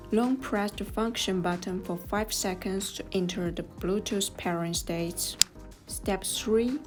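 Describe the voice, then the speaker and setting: A woman reading scripted step-by-step instructions as a tutorial narrator, in a measured, neutral manner. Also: mid-range voice. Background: music.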